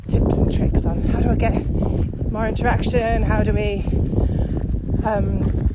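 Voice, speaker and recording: A woman's voice: medium pitch, level tone, takes it slowly. Female podcast co-host, conversational explainer, recorded outdoors with very heavy ambient noise. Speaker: podcast co-host and virtual training consultant. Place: outdoors on a walk.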